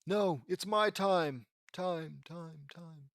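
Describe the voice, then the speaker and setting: A man voicing a taunting, pure evil male villain in a story, loud and theatrical. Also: on the high side, animated, moderate pace, dry recording, studio quality. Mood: desperate.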